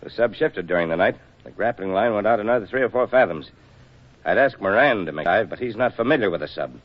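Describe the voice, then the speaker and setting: An actor playing a stern navy admiral with a formal, measured, coolly polite delivery in a 1940s radio drama. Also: male voice, lowish voice, expressive. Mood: insistent. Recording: dull lo-fi 1940s radio recording.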